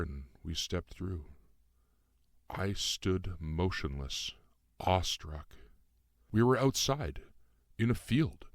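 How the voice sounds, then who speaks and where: A man reading his own novel as measured, literary first-person audiobook narration. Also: low-pitched, no echo, clean studio sound.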